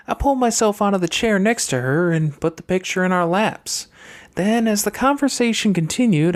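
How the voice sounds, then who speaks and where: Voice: medium pitch, close to an everyday voice. A reader voicing a calculating young girl from a wealthy family in an audiobook, airy and pointed with a haughty disdain. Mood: confident, proud.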